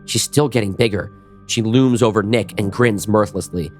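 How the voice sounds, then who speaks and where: A man's voice: fairly low pitch, moderate pace, faint music behind. A man giving scripted narration for an action audio drama.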